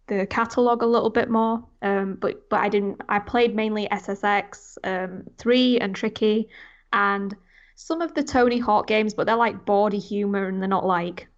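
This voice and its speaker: A woman's voice: mid-range voice, moderate pace, highs cut off. A young woman chatting casually and informally on a gaming podcast, rambling as she goes. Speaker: podcast panelist.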